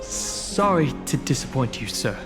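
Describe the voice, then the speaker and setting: Slow-spoken, cautious game traveler. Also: male voice, mid-range voice, big pitch swings. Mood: polite, guarded. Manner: measured, hesitant, thoughtful. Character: polite, cautious male traveler posing as a soldier. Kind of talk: video game character dialogue. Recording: music behind the voice.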